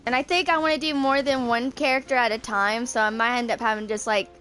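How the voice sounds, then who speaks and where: A woman's voice: on the high side, steady tone, fast talker, a bit bright. A woman in her twenties giving casual gameplay commentary, rambling and thinking aloud as she plays. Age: 20s. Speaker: gaming streamer. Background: game music.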